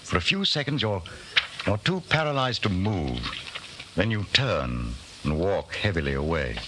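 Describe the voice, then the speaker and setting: Ominous narrator, low voice, highly animated delivery, admonishing, 1940s radio drama, faint background noise. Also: male voice, unhurried. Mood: tense, suspenseful. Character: grave, ominous male narrator addressing the hero.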